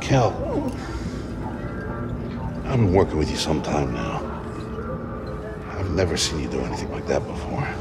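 An actor playing a weathered, talkative older alien scrapper in a video game, world-weary and fatherly, with a gravelly voice and halting, expressive delivery. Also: over loud music, heavily acted. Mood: quiet, grateful. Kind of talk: cinematic video game acting. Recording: game soundscape of rain and rumbling machinery.

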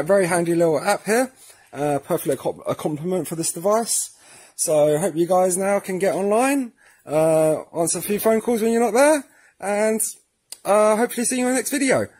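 A man's voice: high-pitched, darkish tone, husky. A man presenting a casual how-to demonstration, speaking informally and in a friendly way to viewers. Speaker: presenter of a product tutorial series.

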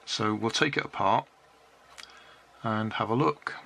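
Older British man, slow bright voice. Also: fairly low pitch, gravelly. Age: 60s or older. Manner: unhurried, matter-of-fact. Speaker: hobbyist repairing and reviewing a toy. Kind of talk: casual hands-on demonstration narration. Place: cluttered indoor hobby workbench. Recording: noisy room with clicks and handling sounds.

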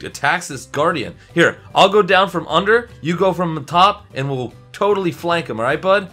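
A man plays an eager young superhero in a game roleplay. His voice is bright and a little high, with music underneath. He chats excitably, like a friendly buddy on an adventure. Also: moderate pace. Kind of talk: improvised Minecraft roleplay, casual chatter.

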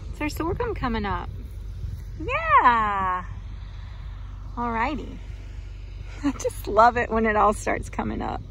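A woman's voice: fairly high pitch, huge pitch swings, slow pace, long pauses, a bit bright. A woman in her forties vlogging casually about the farm, chatty and often laughing, filmed on a phone held at arm's length in a truck and out in the fields. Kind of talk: casual vlog narration. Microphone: phone camera held at arm's length. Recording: noisy outdoor phone recording. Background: truck and outdoor ambience.

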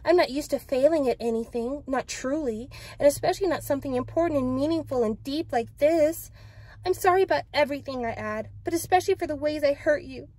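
Young female idol from a novel, read by a woman, higher-pitched, earnest, pleading, full of regret. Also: a little fast, mellow, no room sound, natural voice. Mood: remorseful pleading. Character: tearful, self-absorbed young woman pop idol, earnestly remorseful. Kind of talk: dramatic book read-aloud.

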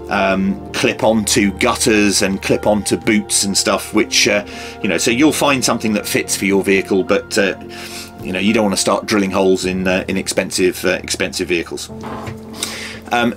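A man's voice: fairly low pitch, moderate pace, music in the background. A British man in his fifties giving an informal, hesitant, unscripted how-to demonstration as a practical presenter. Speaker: presenter of a radio how-to video. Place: small home office or workshop room.

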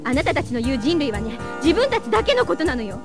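A woman playing a defiant, sharp-tongued high school girl, indignant and forthright, in an audio drama. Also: sing-song, quick pace, over music.

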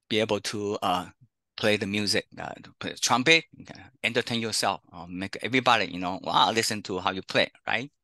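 A man's voice: lowish voice, pushed and bright, no room sound. A middle-aged man from Taiwan with a Taiwanese accent, Chinese as his first language, presenting an online career workshop as a counselor and often tagging "okay, huh?". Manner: chatty, frequent "okay, huh?" tags. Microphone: video call.

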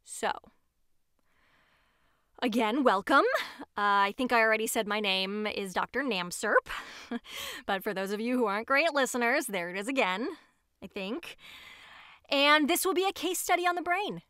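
Rambling, self-important neuroscientist, highish voice. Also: female voice, lively intonation, bright, forceful tone, smooth, dry recording, studio quality. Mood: chatty, indignant. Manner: halting, digressive, self-justifying. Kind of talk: audio drama monologue as if recording a homemade show.

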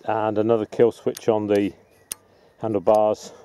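A middle-aged man giving a casual, unhurried, matter-of-fact gardening vlog demonstration in a rambling way. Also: on the low side, monotone, warm tone, clean tone, no echo. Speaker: allotment gardener presenting own video. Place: outdoors on an open allotment plot. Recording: outdoor background noise with birdsong.

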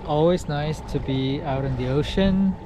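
A man in his forties, a little tipsy, talking casually to a kayak-mounted camera on the sea, rambling with lots of fillers. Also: fairly high pitch. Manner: slow, relaxed, rambling with many fillers. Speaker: fishing vlogger. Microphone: action camera mounted on the kayak. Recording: clear sea wind and water noise. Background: wind and water noise.

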